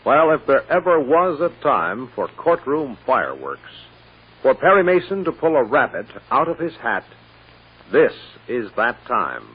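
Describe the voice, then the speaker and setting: A man announces a vintage radio serial with dramatic pauses. His voice is very rough and husky, with animated swings of pitch. The sound is narrow and muffled. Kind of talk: 1940s radio serial narration.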